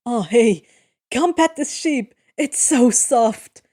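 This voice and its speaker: Woman doing a cheeky teen boy from a fanfic, chatty and joking, kinda high and slow. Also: expressive, mellow, no room sound, clean studio sound. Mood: eager. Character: cheeky, upbeat teenage boy demigod.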